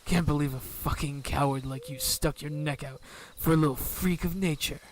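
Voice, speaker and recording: A man's voice: a little high, steady tone, normal speed, close to an everyday voice. A man playing a cruel, foul-mouthed werewolf hunter in an audio roleplay, menacing and sneering. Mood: angry, taunting. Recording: noisy outdoor ambience with chirping crickets.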